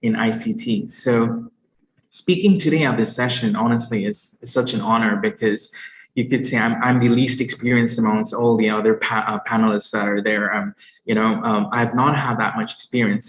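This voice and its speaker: A man's voice: fairly low pitch, a bit bright, roomy sound. A young man from Bangladesh, a postgraduate student, speaking modestly and hesitantly with many fillers as a panelist on a video call. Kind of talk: online panel talk. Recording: dull lo-fi call audio with faint noise.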